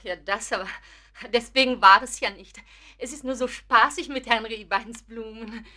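A flustered spinster neighbour in a radio drama. Her voice is high and very bright, and she chatters on with coy protests, shyly smitten and fussy. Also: fairly even intonation, normal speed. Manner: flustered, rambling, coyly protesting. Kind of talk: German radio play acting.

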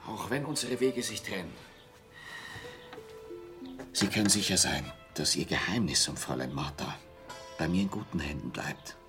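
Radio drama: principled, solemn art teacher, deep-set low pitch, measured, earnest delivery. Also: male voice, animated, music in the background. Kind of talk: German radio play acting.

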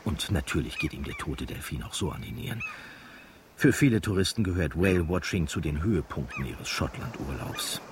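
An actor playing a wry, easygoing narrator of village life in a radio drama, telling it in an unhurried way. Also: male voice, rumbling low, sing-song, background noise. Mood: matter-of-fact.

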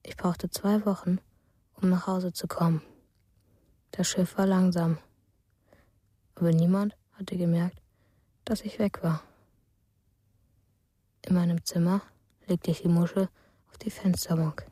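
Dark, husky, dreamy young storyteller. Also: female voice, lowish voice, fairly even intonation, a little slow, pauses often, dry recording, clean studio sound. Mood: dreamy, matter-of-fact. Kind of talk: storytelling in a radio drama.

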